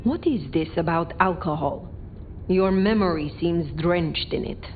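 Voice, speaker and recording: A lowish female voice for a cool, curt, ancient vampire in a video game, played by a woman. She speaks formally and with command, in muffled audio over clear background music. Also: a little slow, natural voice. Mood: grave. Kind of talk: video game dialogue acting.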